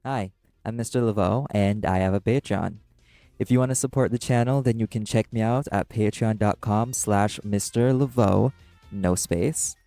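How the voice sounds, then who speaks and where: A man's voice: on the low side, normal speed, gravelly, dark tone, no echo, soft background music. A man delivering a scripted outro to his listeners as an audio creator.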